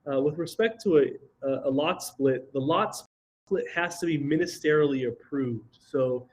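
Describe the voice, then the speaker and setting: A man with a slight cold and a nasal voice, giving an online slide presentation over a video call, halting with ums, restarts and throat clearing. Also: fairly high pitch. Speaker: presenter of a housing webinar.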